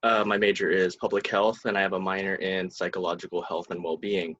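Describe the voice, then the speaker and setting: Low-voiced young man, hesitant. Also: level tone, fairly bright tone, highs cut off, slight background noise. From Hawaii, US. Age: young adult. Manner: hesitant, halting, many pauses. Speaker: podcast co-host, student. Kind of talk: casual podcast chat.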